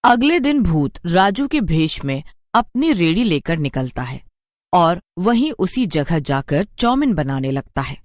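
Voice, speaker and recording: Animated, swooping cartoon story narrator. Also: mid-range voice, no room sound, clean studio sound.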